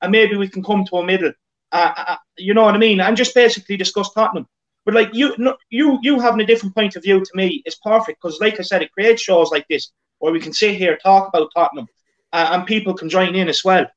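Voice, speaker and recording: A high-pitched Irish man hosts a casual live stream about football, reading viewer comments aloud. He fills gaps with "um" and stumbles over repeated words. The sound is dull and lo-fi. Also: fast. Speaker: host.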